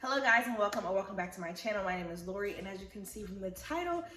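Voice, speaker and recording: A woman's voice: medium pitch, animated, racing. A young woman from Liberia, congested, chatting casually and rambling with many fillers in a vlog. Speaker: vlogger. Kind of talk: casual pregnancy recap vlog. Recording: roomy echo, faint music.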